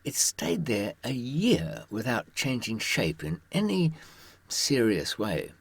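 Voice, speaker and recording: Male raconteur, very low and rough-edged husky voice, dry close sound, wry and understated, sharing hard-won advice informally. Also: wildly swooping pitch, slow pace, no echo. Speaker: author and former prisoner giving advice. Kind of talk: informal first-person storytelling to listeners.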